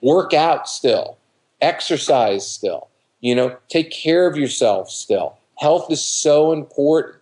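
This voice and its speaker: A man's voice: fairly high pitch, expressive, slow pace, darkish tone, rough recording. A man in his fifties giving casual, colloquial advice on a video call, emphatic and repetitive, acting out quoted remarks. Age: 50s. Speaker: dating coach, interview guest. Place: living room at home, indoors. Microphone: computer mic on a video call.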